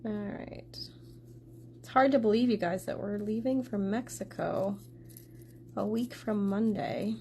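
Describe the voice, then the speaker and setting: A woman of middle age talks viewers through a card-making demo in a chatty, running commentary. Her voice has a gravelly fry to it, and she sounds a little congested from a lingering sore throat. A faint noise sits under the recording. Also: medium pitch, fairly even intonation, measured pace, long pauses, a little mellow. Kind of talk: casual craft tutorial.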